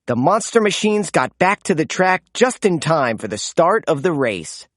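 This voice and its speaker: A woman reading a children's storybook aloud as narrator, in an expressive read-aloud style. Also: deep voice, normal speed, no room sound, studio quality. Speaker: storybook reader.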